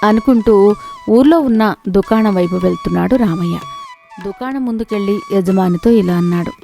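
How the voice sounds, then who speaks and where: A woman's voice: mid-range voice, moderate pace, gravelly, warm tone, soft background music. A woman reading a scripted moral story as its narrator.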